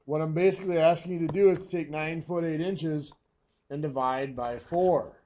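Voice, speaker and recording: A hoarse, coughing man teaching a math lesson, walking through each step patiently. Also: a little high, moderate pace, mellow, slight background noise.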